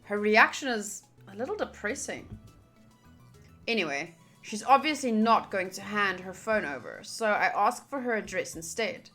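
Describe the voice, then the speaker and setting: Bright, animated woman reading a game aloud. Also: mid-range voice, normal speed. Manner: chatty, expressive, reacting fondly to the game. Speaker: host of a visual novel playthrough. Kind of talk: reading game narration aloud with casual asides. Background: background music.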